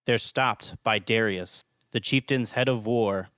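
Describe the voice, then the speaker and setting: A man's voice: lowish voice, a little slow, fairly bright tone, no room sound, studio quality. A man hosting and narrating a scripted audio drama podcast.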